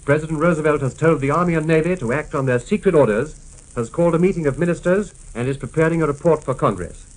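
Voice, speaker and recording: A man's voice: fairly high pitch, fast. A man reads a formal, clipped 1940s radio news bulletin on a crackly old recording. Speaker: radio newsreader.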